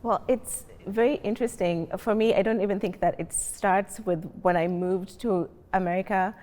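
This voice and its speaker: A woman's voice: mid-range voice, steady tone, normal speed, a little mellow. Kenyan-accented woman in a big reverberant hall. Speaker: panelist. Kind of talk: reflective discussion. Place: large library reading room. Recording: long reverb of a large hall.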